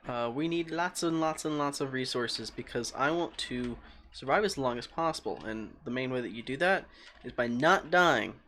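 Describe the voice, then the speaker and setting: Male game streamer, calm voice, middle pitch, rambling and chatty with viewers, faint typing behind. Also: moderate pace, slight background noise. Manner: rambling, thinking aloud and answering chat. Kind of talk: casual livestream chat while playing.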